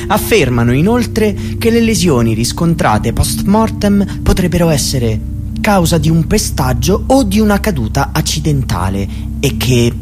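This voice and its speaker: A man's voice: fairly high pitch, big pitch swings, measured pace. An Italian actor playing a dead young man who soberly recounts his own death in a documentary theatre monologue. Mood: sober, factual. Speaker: stage actor. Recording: music under the voice. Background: prominent music.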